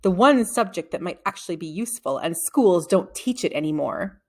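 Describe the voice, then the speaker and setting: A woman's voice: lowish voice, animated, normal speed. A woman voicing a curious, eager schoolboy in a children's storybook reading. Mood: grumbling. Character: curious, eager schoolboy plotting with his friend.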